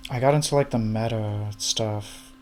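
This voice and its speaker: A man's voice: fairly low pitch, steady tone, measured pace, darkish tone, a little hiss or hum. Hesitant man of thirty chatting. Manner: hesitant, trailing off with fillers. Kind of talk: casual chat.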